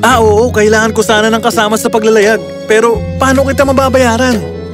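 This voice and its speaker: Kind, courteous fairy-tale prince, put on by a man, youthful and earnest, high pitch, prominent music bed. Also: level tone, fairly quick, close to an everyday voice. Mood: friendly. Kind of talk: children's fairy-tale dialogue. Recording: music clearly behind the voice.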